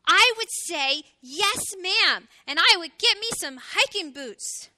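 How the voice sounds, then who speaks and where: An adoring little boy hedgehog from a children's storybook, played by a woman, bursting out with emphatic promises. The voice is very high and piercingly bright, jumping up with childlike eagerness to obey. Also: expressive, takes it slowly, dry recording, full character voice. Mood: eager, adoring. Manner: exuberant, emphatic, childlike declarations. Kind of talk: children's storybook read aloud.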